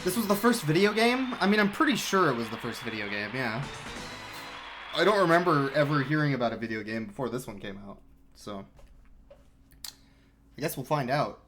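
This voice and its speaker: Dude casually talking through his speedrun, mid pitch, music in the background. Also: normal speed, pauses often. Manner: relaxed, explanatory, with sudden excited outbursts. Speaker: speedrunner commentating his run. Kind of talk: casual live-stream commentary. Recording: game music playing behind.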